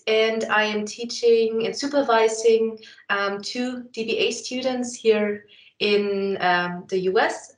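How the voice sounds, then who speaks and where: Austrian woman professor, echoey room. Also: medium pitch. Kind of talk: self-introduction on a webinar. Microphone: video call.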